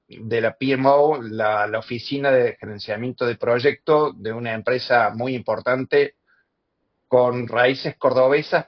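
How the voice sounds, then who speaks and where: This is a man opening an interview. He gets his introduction out with many hesitations and restarts. His voice has a middle pitch, and the sound is a little dull and lo-fi. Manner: hesitant, filler-heavy.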